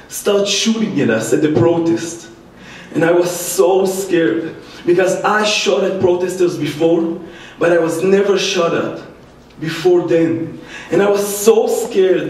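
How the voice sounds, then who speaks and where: A man with an Israeli accent and a fairly high voice tells a personal story to an audience. He is a native Hebrew speaker and acts out dialogue as he goes. The sound is very reverberant and picked up from far off in a church. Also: darkish tone. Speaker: activist speaker, former soldier. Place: large indoor church. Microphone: distant microphone. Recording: echoey church, distant mic, faint noise.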